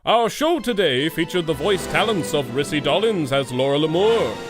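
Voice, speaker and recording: Casting: male serial announcer, highish voice, very animated, old-time radio style over light music. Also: moderate pace.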